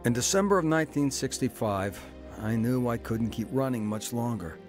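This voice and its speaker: A man's voice: medium pitch, normal speed. Middle-aged man narrating over music. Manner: earnest, steady storytelling. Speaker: narrator and lead. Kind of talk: first-person dramatized narration.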